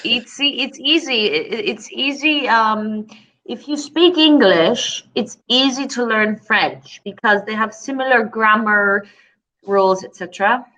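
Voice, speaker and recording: Bright-voiced young woman tutor. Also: a little high, measured pace, rough recording. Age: young adult. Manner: patient, relaxed, many fillers. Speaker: online English tutor. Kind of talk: casual conversation lesson.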